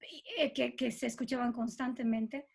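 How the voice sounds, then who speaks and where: A woman's voice: fairly high pitch, steady tone, moderate pace, gravelly, husky. A woman with a Bolivian Spanish accent, talking conversationally as an interview guest about her own creative work, often checking in with tag questions. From Cochabamba, Bolivia. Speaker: guest composer.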